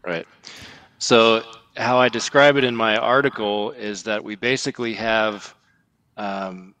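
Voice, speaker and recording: A man's voice: lowish voice, fairly even intonation, measured pace, pushed and bright. A man speaking as a podcast guest, giving conversational, explanatory commentary.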